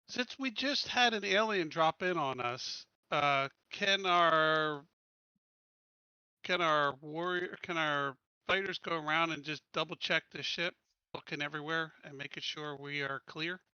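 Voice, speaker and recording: A man's voice: fairly high pitch, takes it slowly, sharp tone, smooth, no echo, lo-fi sound. A man playing the captain in a tabletop game, talking casually and hesitantly, with lots of ums. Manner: hesitant, lots of ums, laughs when confused. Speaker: player and ship captain.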